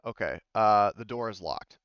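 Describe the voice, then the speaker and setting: A man's voice: fairly low pitch, lively intonation, normal speed, smooth, no room sound, lo-fi sound. A man acting as game master, narrating a tabletop game casually and hesitantly as he thinks his rulings through aloud.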